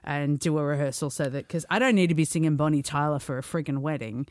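Australian lady singer chatting on a podcast, lively, laughs a lot, dry mic. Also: low voice, fast, no echo. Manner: chatty, laughing, animated storyteller. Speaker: singer guest. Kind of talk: casual podcast chat.

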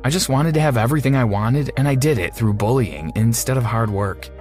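A man's voice: mid-range voice, fairly quick, a little mellow, faint music behind, close to an everyday voice. A man playing a repentant teenage bully, narrating his own story in a dramatic, earnest first-person style. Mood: apologetic.

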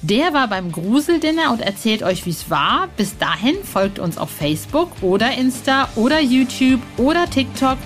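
A woman's voice: fairly high pitch, very animated, normal speed, few pauses, soft background music. A woman presenting a podcast as host, speaking directly and personally to her listeners. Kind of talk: podcast moderation, addressing listeners.

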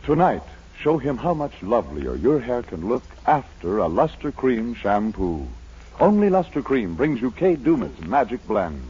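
A man's voice: medium pitch, sing-song, moderate pace, dark tone, slight background noise. An American man reading a scripted 1940s radio advertisement on an old broadcast recording. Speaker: commercial announcer. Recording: dull 1940s radio recording, music behind.

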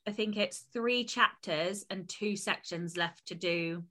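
A young adult woman co-hosting a casual podcast, animated and rambling, laughing often. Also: medium pitch, unhurried. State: voice lower than usual. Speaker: podcast co-host. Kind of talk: casual chat.

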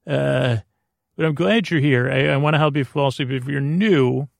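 A man hosting a sleep podcast in a creaky, lulling voice, meandering and stammering through deliberately dull tangents. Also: mid-range voice, no room sound, clean studio sound. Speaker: host of a bedtime-story podcast. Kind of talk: rambling sleep podcast monologue.

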